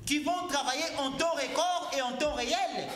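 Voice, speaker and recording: A man's voice: very high-pitched, moderate pace, roomy sound, crowd noise. A man arguing insistently in a heated political debate, repeating his points and cutting in. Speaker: debate guest.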